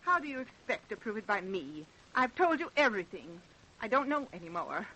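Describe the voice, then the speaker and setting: An American actress, a woman, playing a defensive, indignant middle-aged mother in an old-time radio drama, with a sharp, theatrical delivery. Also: on the high side, big pitch swings, brisk, full character voice, rough recording. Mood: bitter, defensive. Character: defensive, indignant mother suspected of murdering her daughter.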